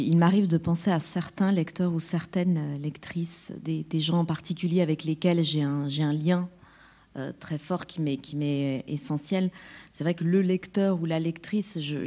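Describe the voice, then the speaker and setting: A woman poet at a round-table discussion, speaking reflectively and pausing to find her words. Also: sits low, warm tone.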